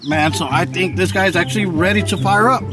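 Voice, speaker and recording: A man giving casual, excited vlog commentary as host of a car audio channel, with an informal, slangy, hyped-up delivery. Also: sits high, fairly quick, over loud music.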